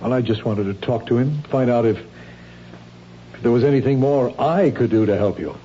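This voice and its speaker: A concerned husband acted by a man in a vintage radio play, speaking with patient, earnest reassurance. His voice is mellow and medium in pitch. The sound is dull, with a little background noise. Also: normal speed, lightly acted. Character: concerned, patient husband, a city hall official. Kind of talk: 1970s radio drama acting. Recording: dull, lightly noisy old radio recording.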